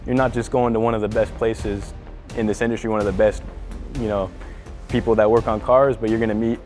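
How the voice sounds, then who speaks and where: A man's voice: on the low side, level tone, a little fast, a little mellow, soft background music. A twenty-year-old man from California, a student, speaking eagerly and from the heart in an interview. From Burbank, California.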